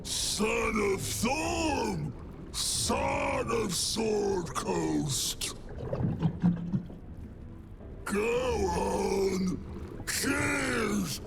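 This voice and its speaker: An actor playing a bloated, boisterous, sinister undead barkeep in a video game, theatrical, growling and shouting his toasts. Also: sits high, very animated, drawn-out words, vocal fry, pauses often, heavy background noise, exaggerated voice.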